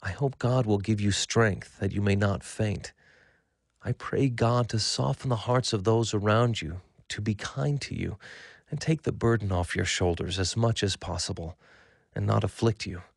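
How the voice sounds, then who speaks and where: An actor reading old letters as a devout 19th-century husband, earnest, formal and solemn, for a documentary. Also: male voice, on the low side, normal speed, vocal fry, no room sound, studio quality. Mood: tender, prayerful. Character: devout 19th-century husband writing tenderly to his wife.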